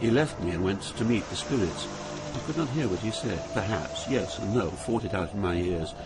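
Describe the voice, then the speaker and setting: Man as grave, low-voiced poet narrator. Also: expressive, over loud music. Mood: solemn, awed. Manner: deliberate, grave, dramatic pauses. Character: awed, solemn poet recounting journey through hell. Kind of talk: dramatized scripted narration.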